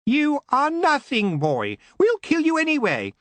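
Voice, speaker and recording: A sneering elf prince with a high, screeching voice that turns silky and mocking, put on by a man reading the story. He drags his words out slowly and sounds close and dry. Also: wildly swooping pitch, fairly bright tone, no echo, exaggerated voice. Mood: contemptuous.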